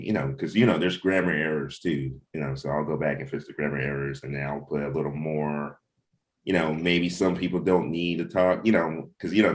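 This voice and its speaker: A man talking casually as a writer about creative work, rambling and repeating himself as he thinks aloud. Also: extremely low pitch. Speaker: comic book writer.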